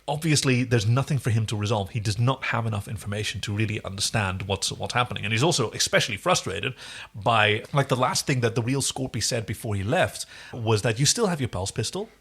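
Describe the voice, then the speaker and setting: A man's voice: mid-range voice, expressive, fairly quick, fairly bright tone, slightly rough. Man, podcast co-host, casual episode recap, jokey asides, digressive.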